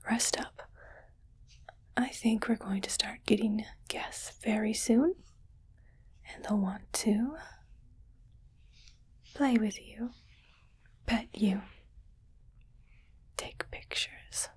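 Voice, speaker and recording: A woman playing a scheming, patronizing rich alien in an ASMR roleplay, speaking in a breathy, coaxing whisper. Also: mid-range voice, exaggerated voice. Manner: slow, patronizing, softly coaxing. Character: condescending, scheming rich female alien keeping a human pet.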